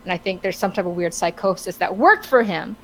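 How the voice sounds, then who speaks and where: A woman co-hosting a podcast in casual, opinionated discussion, halting and stammering as she searches for words. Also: on the low side, a bit bright, rough recording. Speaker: podcast co-host. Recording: clear background noise.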